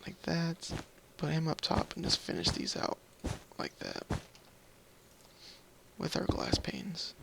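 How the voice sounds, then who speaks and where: A man's voice: on the high side, wildly swooping pitch, slow pace, vocal fry, long pauses. A man with a tired, slightly scratchy voice giving casual, rambling, apologetic video game commentary, thinking aloud as he plays. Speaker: host of a gaming video series. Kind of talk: casual Minecraft let's play commentary.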